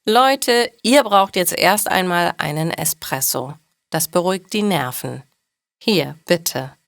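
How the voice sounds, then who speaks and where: Woman reading as a friendly car-wash man, unhurried. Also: lowish voice, expressive, gravelly, a bit bright, no echo, clean studio sound, full character voice. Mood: cheerful. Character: friendly, easygoing male car-wash owner.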